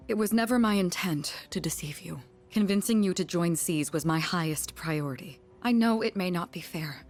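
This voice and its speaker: A woman playing a composed, guilt-burdened high school senior girl in a video game, speaking in a formal, measured way. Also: lightly acted. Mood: guilty, firm.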